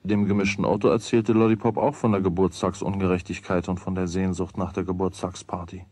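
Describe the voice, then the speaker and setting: A middle-aged man narrating a children's radio play as a calm storyteller. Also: low voice, a little fast, slightly rough, no room sound, studio quality. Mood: calm narration.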